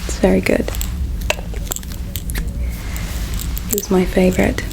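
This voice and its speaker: A woman playing a gentle, caring alien in an ASMR roleplay, speaking in a slow, hushed, tender and wistful way with a breathy quality. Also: medium pitch, completely flat delivery, noisy background. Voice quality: breathy whisper with creaky edges. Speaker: ASMR performer. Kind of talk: ASMR roleplay, whispered and soft-spoken.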